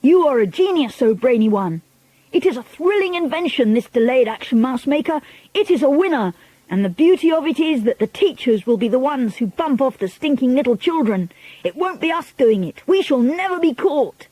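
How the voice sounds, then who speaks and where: Witch mob, voiced by a woman: shrill, gleeful, bloodthirsty, breathy edge. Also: normal speed, no echo, full character voice, low-quality recording. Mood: shrieking. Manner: shrill, excited exclaiming. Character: crowd of shrieking, bloodthirsty female witches.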